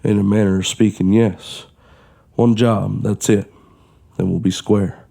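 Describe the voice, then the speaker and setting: A man's voice: on the low side, little pitch movement, unhurried, vocal fry, mellow. A man playing a bitter, desperate ex-convict father who talks in terse, blunt lines in an audio drama. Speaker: former criminal and father. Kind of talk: amateur audio drama acting.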